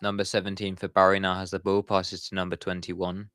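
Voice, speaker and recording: A man's voice: deep voice, completely flat delivery, fairly quick, a bit bright, no echo, studio quality. A young man giving live football commentary on his stream, chatty and talking to his viewers in the chat. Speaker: watchalong streamer.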